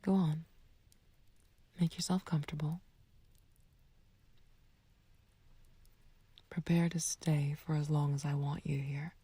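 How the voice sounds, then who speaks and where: A woman playing a smug, taunting, playfully flirtatious villain in an ASMR roleplay, with a husky, breathy low voice and a teasing, unhurried, condescending manner. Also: mellow, no room sound. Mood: flirtatious, possessive. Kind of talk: ASMR roleplay audio drama.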